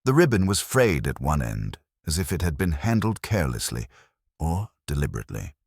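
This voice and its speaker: A man reading a scripted mystery story in a measured, formal storytelling manner. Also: a bass voice, sing-song, gravelly, husky, dry recording, studio quality. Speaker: audiobook narrator.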